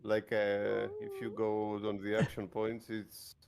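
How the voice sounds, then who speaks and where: A man's voice: on the low side, monotone, takes it slowly, vocal fry, darkish tone, a little hiss or hum. A man playing a tabletop roleplaying game, chatting casually about the rules.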